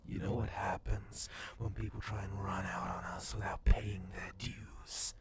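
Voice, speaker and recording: Sadistic cyborg gang boss played by a man, deep rasping fry, unhurried menace, whispered horror roleplay. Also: level tone. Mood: mocking menace. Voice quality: low, gravelly, breathy half-whisper. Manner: slow, menacing, drawn-out taunting. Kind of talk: ASMR horror roleplay acting.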